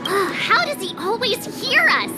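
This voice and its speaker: Perky anime game girl detective, high voice, super bouncy, cocky n playful, music behind. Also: sing-song, measured pace, heavily acted. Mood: flustered. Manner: playful, self-assured, dramatic. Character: perky young female amateur detective, cheerful and overconfident. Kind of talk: video game voice acting.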